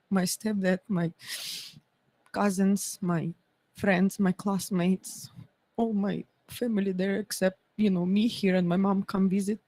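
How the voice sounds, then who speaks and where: A woman's voice: mid-range voice, darkish tone, dry recording, poor audio. Ukrainian-accented woman, dry close sound. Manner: earnest, halting, non-native grammar. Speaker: guest. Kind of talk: personal testimony on a talk show.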